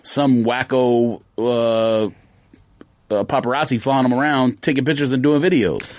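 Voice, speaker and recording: A man's voice: mid-range voice, fairly even intonation, unhurried, dry recording. Hesitant male podcast co-host. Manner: conversational, questioning, a little hesitant. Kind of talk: casual chat.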